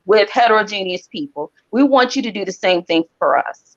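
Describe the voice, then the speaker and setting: A woman's voice: mid-range voice, expressive, fairly quick. Sincere woman on a dull call line. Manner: sincere, hurt, appealing for solidarity. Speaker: caller on a live stream. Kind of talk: casual discussion.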